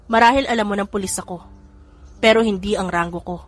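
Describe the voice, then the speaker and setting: A woman reader voicing a male police general who explains calmly and teases affectionately, with little change from her own voice. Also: mid-range voice, brisk, faint music behind, natural voice. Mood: explaining.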